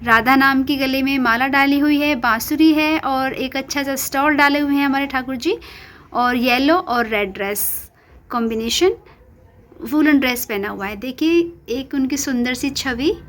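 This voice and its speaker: A woman with a high voice, a little hoarse from a cold, hosts her devotional channel and talks earnestly to her viewers. She asks pointed questions and speaks straight to the people watching. She records on a phone at her home shrine. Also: a little slow, fairly bright tone. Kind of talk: casual devotional talk to viewers.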